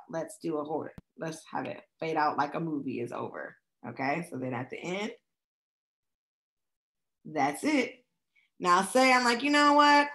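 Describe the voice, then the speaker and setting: Chatty woman with a slightly raspy voice. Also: fairly low pitch, a bit bright. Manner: chatty, casual, keeps checking in with "okay?". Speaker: travel agent teaching video making. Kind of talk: screen-recorded tutorial walkthrough.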